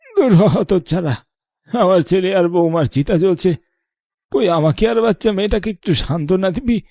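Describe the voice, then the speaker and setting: Man acting elderly cartoon grandad, gravelly, swooping intonation, sharp-tongued, affectionate. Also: high voice, animated, a little fast, no echo, clean studio sound. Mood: angry scolding. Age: older. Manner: scolding, then tender storytelling. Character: old grandfather, protective, weary, sharp-tongued but affectionate.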